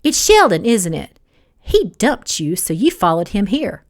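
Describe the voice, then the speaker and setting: A woman's voice: mid-range voice, sing-song, measured pace. A woman voicing a haughty, spiteful young woman in an audiobook, with a snappy, condescending delivery. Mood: accusing. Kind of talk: audiobook character dialogue.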